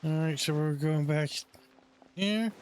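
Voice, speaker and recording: A man's voice: a little high, takes it slowly, clear, resonant tone. A man giving laid-back, casual gameplay commentary in brief reactions. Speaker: gamer playing through a story quest.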